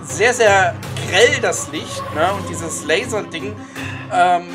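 A game master narrating a tabletop horror role-playing session, haltingly, searching for words. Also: medium pitch. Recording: music behind the voice.

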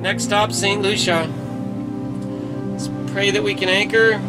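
A woman's voice: medium pitch, level tone, unhurried. A middle-aged woman captaining a sailboat, talking to camera in a blunt, chatty vlog style, swearing freely as she works the sails. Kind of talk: casual vlog narration. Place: outdoors on a sailboat deck at sea. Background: loud music and wind.

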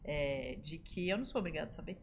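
A woman's voice: low voice, fast, slight background noise. Encouraging woman teacher. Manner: encouraging, explains with examples. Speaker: language teacher guest. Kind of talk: casual podcast discussion. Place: podcast studio. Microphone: studio boom mic.

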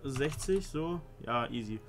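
A man's voice: mid-range voice, expressive, measured pace. Brief: young male let's player, slangy and easygoing, game music faint under him. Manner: slangy, laid-back, says "easy" and "Alter". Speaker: let's play host. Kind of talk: casual gaming chat.

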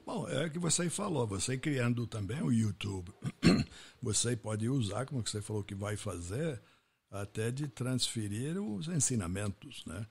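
A man with a husky voice, hosting the podcast and sharing his own stories in a reflective way. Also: mid-range voice, expressive, unhurried. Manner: reflective, anecdotal. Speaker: podcast host. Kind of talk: casual interview talk.

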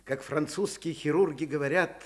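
An actor playing a kindly, principled doctor and father in a radio drama, conversational and gently ironic. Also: a little high, moderate pace, natural voice. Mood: gentle. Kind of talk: Soviet radio drama acting.

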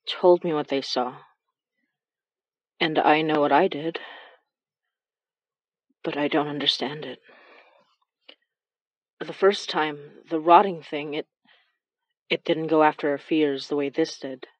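A woman playing a troubled, reflective paranormal investigator in a horror audio drama, speaking in halting, confiding phrases. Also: low voice, darkish tone, no room sound, highs cut off. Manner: halting, confiding, weighted pauses. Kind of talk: first-person horror audio drama monologue.

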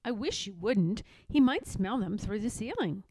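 A woman's voice: medium pitch, sing-song, moderate pace, mellow, husky, dry recording. A woman voicing a shy, polite, easily worried young male pig in a storybook.

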